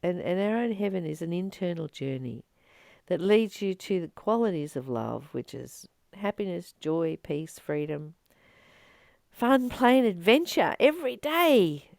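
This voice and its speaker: A woman reading from a spiritual book and commenting on it informally, in an earnest, emphatic manner with deliberate pauses. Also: on the low side, very animated, creaky, a little mellow, no room sound, studio quality.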